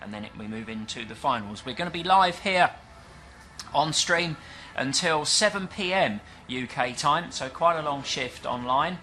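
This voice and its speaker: A British man giving live commentary on a stock car race, chatty and explanatory, pausing often with fillers. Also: a little high, lively intonation, bright, forceful tone, slight background noise. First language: English. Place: at a dirt track event.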